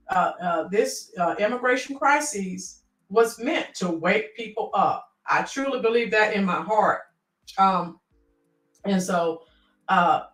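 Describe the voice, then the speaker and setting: A woman's voice: mid-range voice, a bit bright, reverberant, far from the mic, rough recording. A middle-aged woman hosting a casual livestream, reading out viewer comments and rambling with many fillers.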